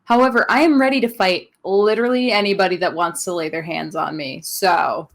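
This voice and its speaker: A woman's voice: fairly low pitch, a little fast. A woman co-hosting a wrestling podcast in casual, sarcastic chat, teasing her co-host and laughing often.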